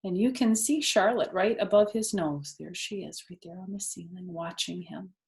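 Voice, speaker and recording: A woman reading a children's story aloud expressively, pausing to explain things to young listeners. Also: fairly low pitch. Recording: roomy indoor echo.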